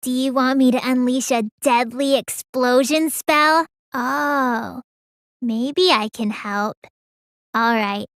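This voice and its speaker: Tiny stubborn teen mage girl for a game dub, super high n bright, gloomy drawl that turns shrill. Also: takes it slowly, no echo, clean studio sound, full character voice. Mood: sleepy, deadpan. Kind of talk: English video game dub voice acting.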